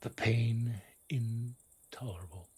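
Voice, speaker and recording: Middle aged guy reading a bedtime story, soft dark husky voice drifting into whispers, kinda wry and theatrical. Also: medium pitch. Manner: wry, dramatic, deliberate delivery. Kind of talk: bedtime storytelling, scripted personal narrative.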